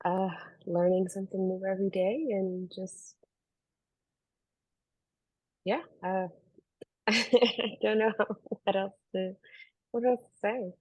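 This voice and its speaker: A woman's voice: medium pitch, level tone, measured pace, long pauses, no room sound. A young woman from Brooklyn chatting casually as a podcast guest over an online call from her apartment. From Brooklyn, New York, USA. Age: young adult. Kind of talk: casual chat. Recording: dull, lo-fi video-call audio.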